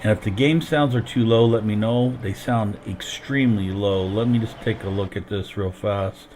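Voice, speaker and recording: A man's voice: fairly low pitch, moderate pace, a little mellow, a little hiss or hum. A man streaming a farming game while sick and losing his voice, explaining each step as he plays into a headset. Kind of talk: casual gameplay commentary.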